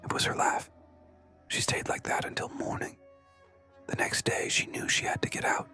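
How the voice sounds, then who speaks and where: A whispering storyteller narrates horror over background music. The delivery is hushed and suspenseful, leaving pauses between short phrases. The whisper is breathy and very bright, with no voiced tone at all. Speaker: narrator of scary stories. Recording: music behind.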